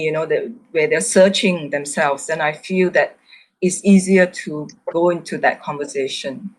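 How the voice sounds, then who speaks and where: A woman's voice: on the low side, rough recording. A woman, an artist moderating a conversational group discussion, speaking tentatively with frequent small verbal asides. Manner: tentative, repeats "you know".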